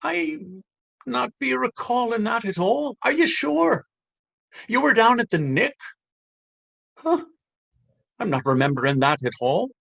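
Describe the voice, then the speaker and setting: A man's voice: very high-pitched, big pitch swings, measured pace, gravelly, long pauses, a bit bright, exaggerated voice. An actor playing a bullying, sceptical Dublin policeman in a stage reading, mocking and officious. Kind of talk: stage readers theater acting.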